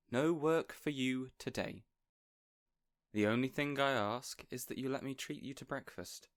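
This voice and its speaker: Casting: male actor as affable evil mastermind boss for audio roleplay, mid-pitched, chatty, earnest, playfully bossy. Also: fairly bright tone. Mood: playfully insistent. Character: affable, eager supervillain boss fond of his assistant. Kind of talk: audio roleplay acting addressed to listener.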